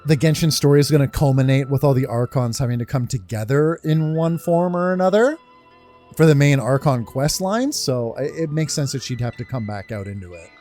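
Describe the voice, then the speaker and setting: A man commentates casually on a video game he is playing. His voice is medium in pitch and informal, dropping into muttered asides. Game music plays clearly behind him. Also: a little fast, dark tone. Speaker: gaming video host.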